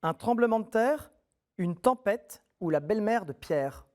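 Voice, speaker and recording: Lively, encouraging quiz show host, man. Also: sits high, a little slow. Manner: friendly, encouraging, clear question reading. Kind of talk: TV quiz hosting, reading questions.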